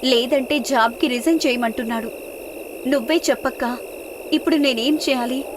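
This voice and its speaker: A woman's voice: high voice, fairly even intonation, normal speed, noisy background, lightly acted. A woman voicing a caring, responsible younger sister with an earnest, coaxing manner in a moral story. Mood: earnest pleading.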